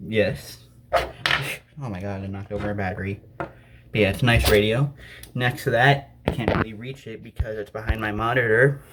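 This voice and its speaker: A man's voice: fairly low pitch, fairly even intonation, normal speed. A man giving a casual, unscripted show-and-tell of his collection, rambling offhandedly with muttered asides to himself. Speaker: collector presenting his radios. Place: indoors, at home by a desk. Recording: clearly noisy amateur recording.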